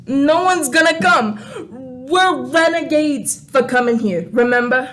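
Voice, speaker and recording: A woman's voice: sits high, measured pace, fairly bright tone. A woman playing a snide, mocking alien renegade who scolds humans in a comedic radio play. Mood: smug. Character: sassy, mocking alien crayon renegade visiting Earth.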